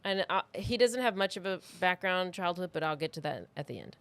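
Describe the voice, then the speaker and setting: A woman's voice: fairly low pitch, animated, a little fast, fairly bright tone. A woman podcast host telling true-crime stories in a casual, chatty way with informal asides.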